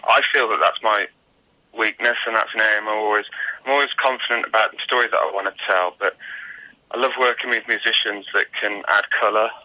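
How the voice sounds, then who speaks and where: A man's voice: lowish voice, fairly even intonation, fairly quick, sharp tone, poor audio. A man answering casually as a musician guest in an interview, reflective and searching for his words. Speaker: guest musician, singer-songwriter.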